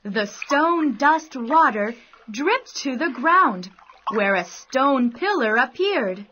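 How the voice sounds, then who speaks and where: A woman narrating a scripted children's bedtime story in deliberate, careful phrases. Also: high voice, animated, a little hiss or hum. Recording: dull sound, soft music behind.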